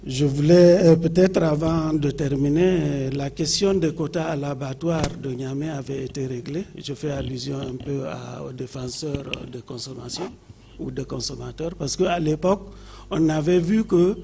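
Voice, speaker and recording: A man from Niger with a Nigerien accent, speaking off the cuff as an adviser at a seminar, phrasing his points deliberately with frequent pauses. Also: fairly high pitch, steady tone, vocal fry, dark tone, slightly rough. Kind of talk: seminar intervention, unscripted public speaking.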